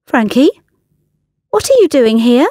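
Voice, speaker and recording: A woman voicing a surly, cutting but wounded waitress in a storybook reading, sharp and emotional. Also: high voice, very animated, unhurried, no echo, clean studio sound, pitched above the performer's own voice, exaggerated voice. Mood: surprised, sharp.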